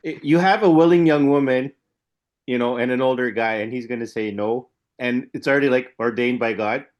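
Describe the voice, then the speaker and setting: A man's voice: medium pitch, brisk, muffled recording. A man co-hosting a podcast in casual chat, skeptical and loose, chuckling as he rambles. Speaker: podcast co-host.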